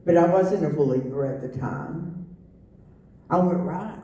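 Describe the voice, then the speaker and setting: Woman, middle-aged, slow, very dark tone, halting, echoey room, far mic. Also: fairly low pitch, muffled recording. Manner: halting, many fillers and restarts. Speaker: testimony speaker. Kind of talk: personal testimony.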